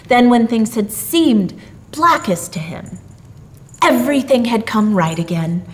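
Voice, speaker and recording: Animated, higher-voiced woman reading a storybook. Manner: theatrical, drawn-out emphasis. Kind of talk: expressive storybook narration. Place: small dark theatre stage, indoors.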